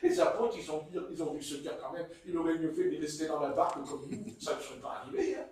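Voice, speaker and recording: A man with a Marseille French accent preaching a sermon in a church hall, telling stories in a playful, animated way. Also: a little high, fast talker, a little hiss or hum. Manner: animated, playful storytelling with chuckles. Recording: echoey hall, mic far off.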